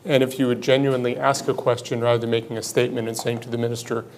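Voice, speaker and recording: Gravelly-voiced forum moderator, a man. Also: medium pitch, little pitch movement, very fast, poor audio. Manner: polite, dry, wordy with some hesitations. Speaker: moderator, program director.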